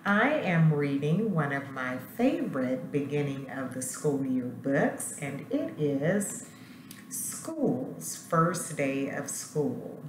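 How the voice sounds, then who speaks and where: A woman with a low voice reads to children as a school principal. She takes her time and tells the story expressively, stopping for asides. The room echoes, with faint noise behind her. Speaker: elementary school principal reading aloud to students. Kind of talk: children's picture book read-aloud with asides. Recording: roomy echo, faint background noise.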